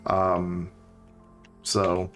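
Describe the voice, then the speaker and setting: A man's voice: low voice, monotone, very slow, fairly bright tone, soft background music. A tired man with a slightly scratchy throat, streaming a game and thinking aloud in casual chat with many fillers.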